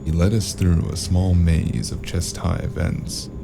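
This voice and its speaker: A man playing a world-weary, sardonic investigator in a noir audio drama, dry and gruff in both narration and dialogue. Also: low voice, little pitch movement, slow, background noise, exaggerated voice. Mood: tense narration.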